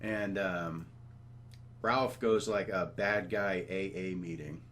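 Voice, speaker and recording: Low-voiced American man, hesitant casual chat. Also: slow pace, fairly bright tone, a little hiss or hum. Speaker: podcast co-host.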